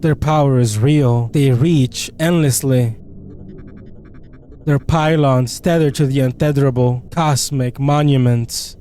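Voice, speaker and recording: A man voicing a mysterious, solemn male visual novel character in a slow, grave, dramatic way. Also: medium pitch, mellow, a little hiss or hum, voice pushed down, natural voice. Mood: solemn, awed.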